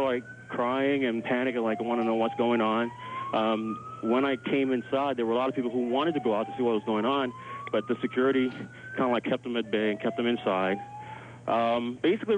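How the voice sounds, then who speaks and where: A man giving an informal live eyewitness account by phone, in loose phrasing full of 'like', as a hotel worker. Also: mid-range voice. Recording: dull noisy phone line, sirens behind. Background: alarms and emergency sirens.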